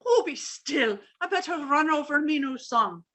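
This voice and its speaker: Woman doing a shrill Irish landlady. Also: expressive, normal speed, a bit bright. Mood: chirpy. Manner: chirpy, proud, indignant. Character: fussy older Irish landlady who sings badly. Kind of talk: 1950s radio sitcom acting.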